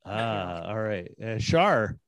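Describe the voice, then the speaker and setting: A man's voice: on the low side, sing-song, slow pace, a bit bright, clean tone, no echo, studio quality. A man hosting a casual group chat, speaking in a jokey, teasing manner. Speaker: host and cast member of radio recreation group.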